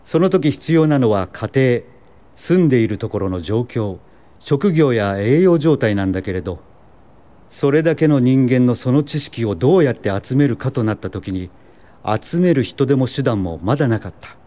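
An actor playing an earnest, kindly American doctor and lecturer in a radio drama. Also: male voice, mid-range voice, animated, normal speed, mellow, natural voice. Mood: earnest, reflective.

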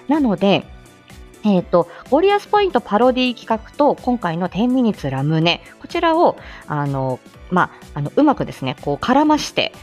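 Woman chatting with lively, swooping pitch. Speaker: host of a weekly morning livestream; speech-language therapist. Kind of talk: casual chatty livestream talk. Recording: faint music behind the voice. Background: faint background music.

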